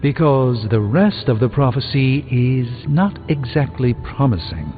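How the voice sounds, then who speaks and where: An actor playing a learned, dry-witted sorcerer superhero in a video game, speaking in a measured, articulate way. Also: male voice, medium pitch, lively intonation, lightly acted. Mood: pensive, grave. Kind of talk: video game dialogue acting. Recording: muffled thin band, reverberant, music behind.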